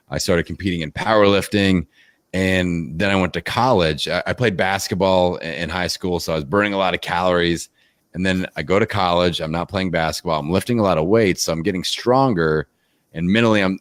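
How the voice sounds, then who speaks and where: A man with a deep voice talking as a guest in a casual interview, energetic and rambling, with many fillers. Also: no echo, studio quality. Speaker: podcast guest, fitness coach. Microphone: video call.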